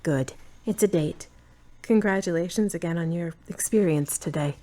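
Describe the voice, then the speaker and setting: A woman's voice: on the low side, normal speed, mellow, close to an everyday voice. A woman playing a supportive, forthright girlfriend in an amateur audio drama, with a direct and conversational delivery. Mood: practical, understanding.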